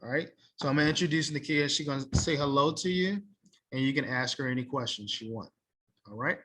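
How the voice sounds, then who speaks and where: A man in his thirties, an English teacher, wrapping up an online class in a relaxed, plain way, repeating himself, heard through a laptop on a video call from a small room. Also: mid-range voice, a little fast. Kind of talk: casual online class wrap-up. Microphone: laptop webcam on a video call.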